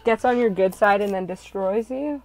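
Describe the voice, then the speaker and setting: A woman's voice: medium pitch, moderate pace, a little mellow, soft background music, poor audio. A woman narrating a visual novel and chatting casually with a group.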